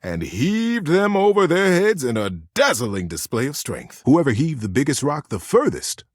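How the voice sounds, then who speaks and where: Highly expressive male storyteller, dry sound. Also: moderate pace, no echo. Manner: gentle suspense, expressive emphasis. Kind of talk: dramatic children's storybook narration.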